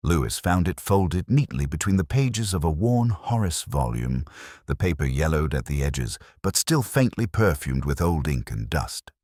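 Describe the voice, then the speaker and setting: A man playing a very deep-voiced, brooding detective. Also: sing-song, darkish tone, dry recording, clean studio sound, full character voice. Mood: brooding, reflective. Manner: measured, reflective, literary phrasing. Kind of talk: scripted first-person detective narration, audio drama.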